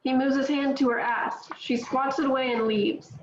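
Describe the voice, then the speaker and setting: A woman reading stage directions in a steady, matter-of-fact way at a table read. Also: sits high, moderate pace. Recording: echoey, dull, faint noise.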